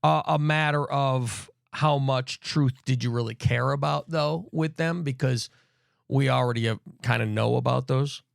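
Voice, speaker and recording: Gravelly, rambling man talking wrestling. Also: mid-range voice, a little slow, dry recording, clean studio sound. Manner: rambling, heavy swearing and fillers. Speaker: podcast co-host. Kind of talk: casual wrestling chat.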